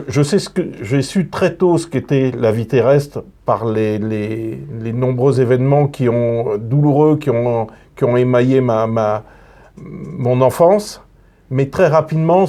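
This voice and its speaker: Man from northern france, mellow voice, hesitant, repeats words, radio guest. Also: medium pitch. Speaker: guest, retired police officer. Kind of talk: radio interview, reflective storytelling.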